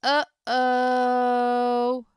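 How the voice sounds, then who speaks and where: A woman's voice: on the high side, monotone, very slow, clean tone, no room sound, studio quality. Woman voicing a groaning, greedy storybook iguana. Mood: groaning, alarmed. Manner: groaning, exaggerated distress. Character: greedy male iguana with a bulging belly. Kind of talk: storybook character voice.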